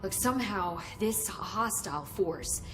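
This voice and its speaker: A woman's voice: medium pitch, animated, measured pace, music in the background, natural voice. A woman playing an eager, nervous government researcher in a video game cutscene, earnest, wordy and slightly flustered. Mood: earnest, urgent. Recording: clear ambient noise behind voice.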